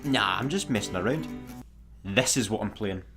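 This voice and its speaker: A man's voice: on the low side, expressive, moderate pace, over music, natural voice. Guy doing a hesitant space slacker, eager but unsure, voice jumps around a lot, radio comedy. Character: hesitant, eager, dim amateur guitarist space fugitive. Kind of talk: sci-fi comedy radio acting.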